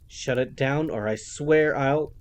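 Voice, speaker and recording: A man's voice: medium pitch, takes it slowly, voice pushed up, natural voice. Man playing a defiant young elf officer woman. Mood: urgent. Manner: urgent, defiant.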